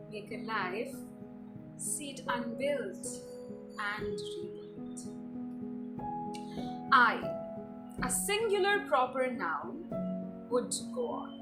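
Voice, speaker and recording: A woman giving a dramatic reading of literary prose, speaking deliberately with expressive pauses. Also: sits high. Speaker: amateur reader performing a dramatic reading. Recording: roomy echo, distant mic, loud music behind. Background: loud instrumental music.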